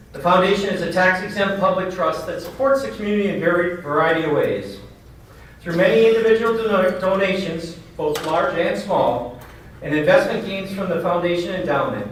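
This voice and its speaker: A man's voice: sits high, fairly bright tone. A man speaking as the host of a community event, giving a formal welcome speech that is partly read from notes. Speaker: event host, foundation chair. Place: large echoing indoor banquet venue. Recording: very echoey hall, distant mic, background noise.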